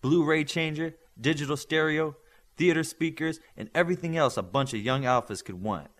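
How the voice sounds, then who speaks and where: A man voicing a brisk, authoritative male coach of young superheroes in an audiobook, with a firm, instructing manner. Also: fairly high pitch, a bit bright, voice pushed up, natural voice. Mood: firm, persuasive.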